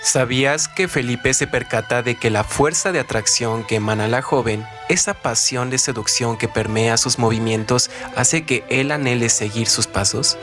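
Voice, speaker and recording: A man's voice: medium pitch, normal speed, few pauses, music in the background. A man narrating scripted literary commentary in a formal, rhetorical manner.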